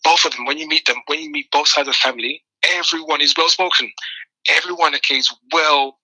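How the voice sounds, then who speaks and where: A man talks through his life story as an interview guest. His voice is very bright and forward, and the recording is dry and close. He tells it animatedly, stumbling and repeating words as he finds his point. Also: on the high side, steady tone, fairly quick, no echo, poor audio. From Nigeria. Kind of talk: conversational interview.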